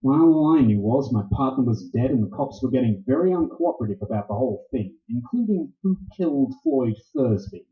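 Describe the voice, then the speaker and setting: Guy acting hardboiled private eye in a radio play, blunt wisecracks, lively pitch, roomy muffled sound. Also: fairly quick, few pauses, close to an everyday voice. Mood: wry narration. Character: tough, cynical hardboiled male private detective. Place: live radio play performance in a roomy space.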